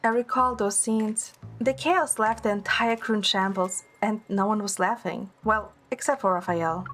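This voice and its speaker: A woman's voice: mid-range voice, fairly even intonation, normal speed, fairly bright tone, faint music behind, close to an everyday voice. A woman playing a wry, affectionate young heroine who narrates her own romance in an audio drama. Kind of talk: first-person narration and dialogue in audio drama.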